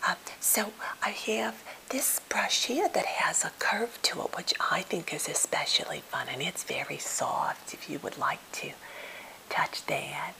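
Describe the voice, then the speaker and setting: A woman playing a timid, slightly odd captive who is eager to please, speaking in a halting, gentle, polite whisper for an ASMR role play. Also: fairly low pitch, expressive, heavily acted, rough recording. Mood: soothing, fussy. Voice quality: breathy whisper with fry.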